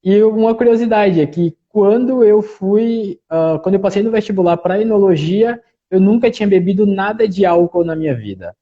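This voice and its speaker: A man with a mix of Bahian and southern Gaúcho accents, talking as a winemaker guest in a relaxed live interview, often tagging "né". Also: high-pitched, moderate pace, dull audio. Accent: mixed Bahian and Gaúcho Brazilian Portuguese. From Salvador, Bahia, Brazil. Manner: relaxed, talkative, frequent "né" tags.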